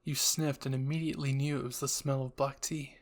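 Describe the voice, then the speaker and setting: A man's voice: medium pitch, flat delivery, a little fast. A man narrating a scripted second-person story as the storyteller of a listener roleplay.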